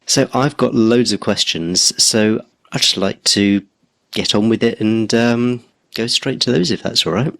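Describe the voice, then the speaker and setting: A man hosting a career podcast as a coach, reading a scripted intro and then asking interview questions in a measured, politely dry way. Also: lowish voice, gravelly, a bit bright, no echo.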